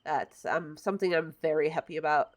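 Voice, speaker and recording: A woman's voice: low voice, steady tone, brisk. Chatty woman streaming a game. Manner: chatty and opinionated, muttering to herself as she builds. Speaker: livestreamer. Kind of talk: casual chat while playing a building game.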